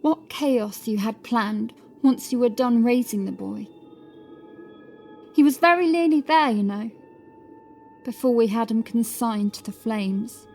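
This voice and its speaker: A woman's voice: a little high, measured pace, long pauses, a little mellow, faint music behind. Scornful, accusing medieval inquisitor, voiced by a woman. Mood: taunting. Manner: mocking, accusatory. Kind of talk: dramatised horror audiobook acting.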